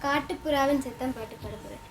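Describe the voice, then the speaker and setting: A female voice with an Indian Tamil accent in a vlog presenting style. It sits clearly high in pitch. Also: fast talker.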